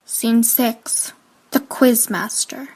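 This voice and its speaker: A woman playing a reserved, brooding teenage girl in an amateur audio drama, measured and guarded in manner. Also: a little high, steady tone, a little mellow, breathy edge, heavily acted, rough recording. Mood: pensive.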